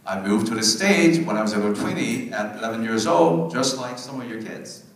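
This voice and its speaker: A fairly low-voiced man from Hong Kong, a native Cantonese speaker, delivers an emphatic keynote to a room of parents and students. He hammers home his points and calls on the audience. The sound is very roomy and echoey, picked up from some distance away. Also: expressive, moderate pace. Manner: emphatic, repeats key points, asks the audience to respond. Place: event hall. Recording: very echoey hall, mic at a distance.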